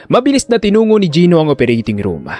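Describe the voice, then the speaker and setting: A man's voice: on the high side, wildly swooping pitch, fast talker, dark tone, soft background music. A man narrating a scripted audio drama story in a dramatic style.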